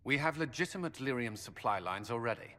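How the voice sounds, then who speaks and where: Insistent male army commander for a fantasy game, mid-pitched, very lively inflection. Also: fairly quick. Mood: businesslike. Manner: forceful, insistent, argumentative. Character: stern, worried male military commander. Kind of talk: video game cutscene acting. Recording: long hall reverb.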